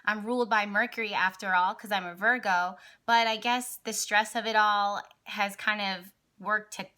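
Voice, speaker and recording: Hoarse bright-voiced woman vlogger. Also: medium pitch. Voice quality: raspy, voice cracks at times. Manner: rambling, excited, many fillers and restarts. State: hoarse, partly lost voice. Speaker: astrology vlogger and channel host. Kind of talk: casual astrology talk to camera.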